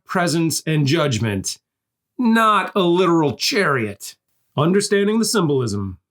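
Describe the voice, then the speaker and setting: A man's voice: fairly high pitch, fairly bright tone. A man narrating a scripted lesson in an explanatory, didactic way, posing questions and answering them with emphasis. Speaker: narrator of a Bible prophecy course.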